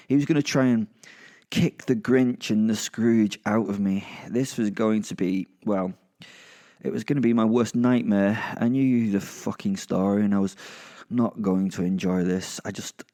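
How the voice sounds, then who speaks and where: A man's voice: fairly low pitch, mellow, close to an everyday voice. A man with a British accent playing a sardonic, foul-mouthed werewolf serial killer who narrates in a rambling, confiding way. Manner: rambling, confiding, sweary, dry. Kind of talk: dramatised first-person narration to listeners.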